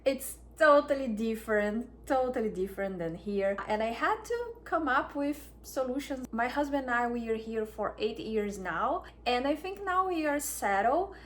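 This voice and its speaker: A woman from Brazil with a Brazilian Portuguese accent, chatting to camera as a wellness vlogger, soft and unhurried, with frequent restarts. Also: on the high side, animated, a little hiss or hum. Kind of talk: casual talk to camera.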